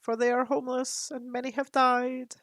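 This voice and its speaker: A woman voicing a huge, very old male raven chief who speaks with a croaking, solemn, measured voice. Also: high-pitched, level tone, fairly bright tone, dry recording, studio quality, pitched above the performer's own voice. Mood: solemn warning.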